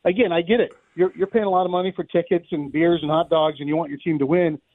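A man's voice: a little high, steady tone, quick pace. A middle-aged man giving conversational, wryly humorous sports analysis as a play-by-play announcer guesting on a radio show. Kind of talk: unscripted sports analysis in a live radio interview. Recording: roomy, thin narrow-band phone line.

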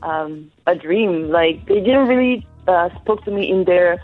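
A young woman from France answering interview questions in English, soft-spoken and halting, with pauses. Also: fairly low pitch, expressive, faint music behind. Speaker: guest, athlete. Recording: tinny phone-like line.

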